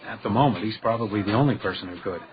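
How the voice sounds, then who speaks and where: Actor as a dry house detective, muffled recording. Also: male voice, lowish voice, rapid-fire, a crowd in the background, lightly acted. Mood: firm, dry. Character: dry, guarded male hotel house detective in 1925. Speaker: lead character and first-person narrator. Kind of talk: detective radio drama acting.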